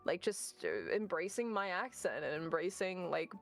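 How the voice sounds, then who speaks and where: A woman's voice: lowish voice, expressive, normal speed, gravelly, faint music behind. Rambling woman full of "like"s. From Southern US. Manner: rambling, heavy on fillers like "like" and "um". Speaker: guest, VTuber and voice actor. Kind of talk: casual interview chat.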